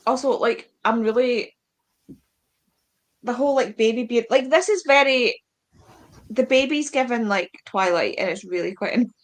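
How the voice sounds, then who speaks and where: A woman's voice: fairly high pitch, moderate pace, pauses often. A woman chatting casually as co-host of a book readalong, peppering her speech with "like" and acting out bits of dialogue. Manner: peppers speech with "like", acts out quotes.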